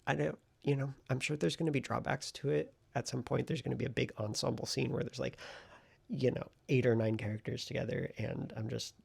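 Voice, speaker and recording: Male vlogger, heavy vocal fry and some rasp, informal and rambling, thinking aloud. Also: mid-range voice, fairly even intonation, fairly quick, darkish tone. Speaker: vlogger and hobby novelist. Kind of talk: casual writing vlog chat.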